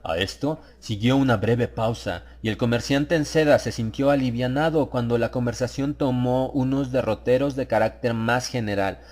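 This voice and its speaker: A man reads a scripted horror story as a dramatized audiobook. His voice sits at a middle pitch, and he tells the tale in a measured, literary way. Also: a little mellow. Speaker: audiobook narrator.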